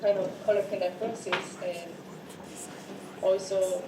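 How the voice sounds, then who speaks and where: A woman artist with a Korean accent explaining her work in halting, broken phrasing. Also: mid-range voice, fairly even intonation. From South Korea. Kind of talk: casual explanation. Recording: roomy, distant, noisy.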